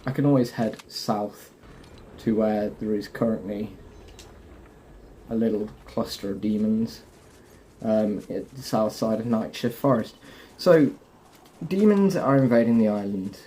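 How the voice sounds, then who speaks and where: A man with a fairly low voice talks through a video game in a casual, explanatory way, addressing viewers directly. He sounds like a host guiding people step by step. There is noticeable background noise and game ambience under his voice. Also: measured pace, long pauses, dark tone. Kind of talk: casual game walkthrough commentary.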